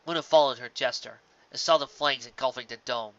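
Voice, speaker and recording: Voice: medium pitch, a little fast, a bit bright. A reader narrating a scripted fan fiction story. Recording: slightly dull, lo-fi recording.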